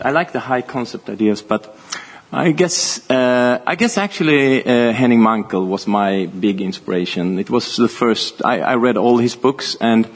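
A man with a husky, slightly breathy voice at an ordinary middle pitch. He talks loosely and casually, restarting his sentences and laughing as he goes. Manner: chatty, halting, laughs often. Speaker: author. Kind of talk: panel conversation.

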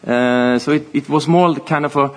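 A man's voice: mid-range voice, steady tone. A man, an author, talking casually on a panel, often stopping to restart his sentences and breaking into laughter. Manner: chatty, halting, laughs often. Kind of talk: panel conversation.

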